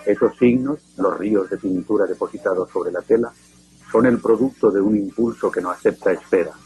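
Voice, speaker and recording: Man, documentary narrator, low voice, deliberate, grave, scripted. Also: warm tone, soft background music, low-quality recording.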